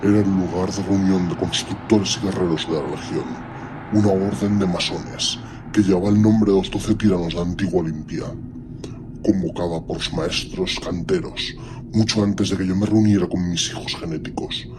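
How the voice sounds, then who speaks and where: A man's voice: deep voice, steady tone, normal speed, noisy background, close to an everyday voice. A man playing an ancient superhuman warlord in an audio drama, speaking with cold, commanding disdain and menace. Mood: solemn. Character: ancient imperious superhuman warlord primarch, menacing and coldly condescending.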